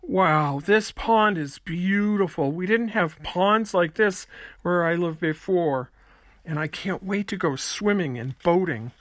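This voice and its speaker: A woman's voice: on the low side, animated, takes it slowly, dry recording, studio quality. A woman voicing a curious fifth-grade schoolboy in a scripted children's puppet show. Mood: keen.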